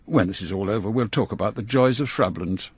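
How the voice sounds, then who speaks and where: A man playing a brisk, authoritative older British intelligence chief in a radio drama, with an English accent and a clipped, commanding delivery. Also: lowish voice, slightly rough, dry recording. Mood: commanding, intent.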